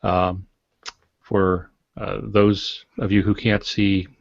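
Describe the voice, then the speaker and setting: A man in his sixties with a lowish voice hosts a podcast informally. He talks in a halting, folksy way with a light joking touch. The sound is dry but slightly dull and lo-fi. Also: level tone, gravelly, no echo. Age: 60s. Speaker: podcast co-host. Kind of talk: informal guest introduction.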